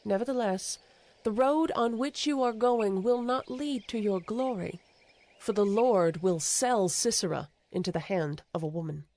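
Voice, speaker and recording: Female actor for stern ancient prophetess and judge, Bible radio play; mid-range, deliberate, commanding. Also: medium pitch, a little mellow, lightly acted. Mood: firm foretelling. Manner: declamatory, deliberate. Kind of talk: dramatized audio Bible acting. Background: music.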